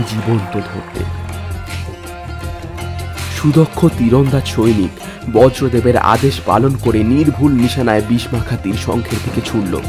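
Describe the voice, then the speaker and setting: A man reading a dramatic story as a narrator, with measured, literary delivery. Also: medium pitch. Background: music.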